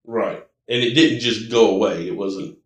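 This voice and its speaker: A man's voice: on the low side, normal speed. An American man hosting a casual interview, affirming and restating what he is told in a conversational way. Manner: frequent affirmations, restates what guest says. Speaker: podcast host interviewing a guest. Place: indoor room, in-person conversation. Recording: roomy, echoey indoor recording.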